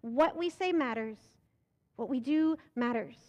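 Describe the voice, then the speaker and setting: Young American woman pastor, high voice, emphatic sermon delivery at a pulpit mic. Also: measured pace, a little mellow, long reverb tail. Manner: rhetorical questions, emphatic rising delivery. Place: church sanctuary. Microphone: pulpit microphone.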